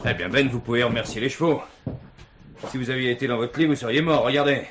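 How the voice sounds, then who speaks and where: An actor playing a shrewd, authoritative police commissioner in a French radio crime drama, speaking in a commanding, deliberate way. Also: male voice, medium pitch, close to an everyday voice. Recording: noisy 1970s radio drama recording.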